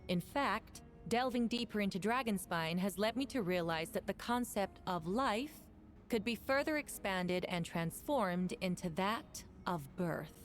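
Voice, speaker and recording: A woman playing a formal, deliberate female prosecutor in a voice-acted video game. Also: mid-range voice, lively intonation, natural voice. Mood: grave reading aloud. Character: formal, resolute female prosecutor presenting evidence in court. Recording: game audio with faint music behind.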